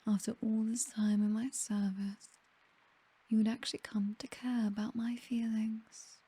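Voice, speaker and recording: A woman plays an aristocratic British vampire mistress, seductive, condescending and possessive. Her voice is extremely mellow and breathy, close to a whisper, and she takes her time with every phrase. It is an intimate, dry ASMR roleplay delivery with a languid, teasing air. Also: medium pitch, no room sound, poor audio.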